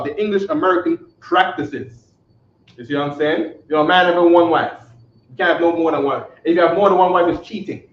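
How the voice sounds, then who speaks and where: Man leading a religious class, high voice, emphatic, muffled lo-fi recording. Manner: emphatic, keeps asking "you see what I'm saying?". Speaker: religious teacher. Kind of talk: live online scripture class. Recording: echoey, distant, muffled.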